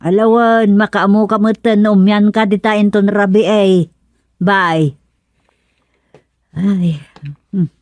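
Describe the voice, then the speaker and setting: A woman's voice: medium pitch, steady tone, slow pace, long pauses, a little mellow, no echo, lightly acted. A woman playing a chatty, persuasive village wife in an Ilocano radio comedy drama, with an Ilocano accent. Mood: coaxing. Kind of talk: radio serial drama acting.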